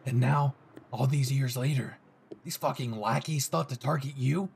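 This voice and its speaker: A man's voice: mid-range voice, normal speed, creaky, a little mellow, slight background noise, natural voice. A man playing a brash, possessive young hero, cocky, swearing and intense, in a dramatic audio roleplay spoken to the listener. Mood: bitter, intense. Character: brash, possessive young male hero, gruff and foul-mouthed.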